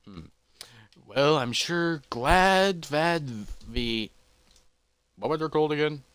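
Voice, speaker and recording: A man's voice: fairly high pitch, expressive, slow pace, fairly bright tone, clean tone, pitched above the performer's own voice. A man voicing an anxious, easily distracted elephant adventurer who mutters to himself with repeats and hesitations. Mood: rambling, distracted. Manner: fretful self-talk with repeats and hesitations.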